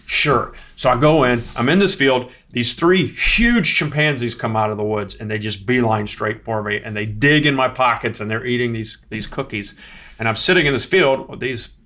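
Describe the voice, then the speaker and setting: A man who is a podcast guest, telling a personal story in a casual, animated way. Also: medium pitch, normal speed.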